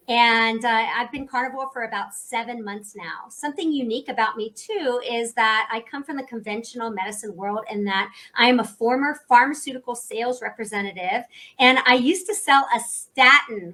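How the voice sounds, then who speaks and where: A young woman hosting a podcast, upbeat and chatty, with a bright, forward tone. She speaks a little higher than most and laughs easily between sentences. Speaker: podcast host. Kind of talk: casual video chat.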